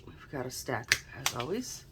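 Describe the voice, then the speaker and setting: A woman with a notably deep, low voice, a bit snuffly and stuffed-up, chatting through a tarot card reading as she talks her thoughts aloud. Her tone stays relaxed and conversational. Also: unhurried, heavy background noise. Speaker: tarot reader, channel host.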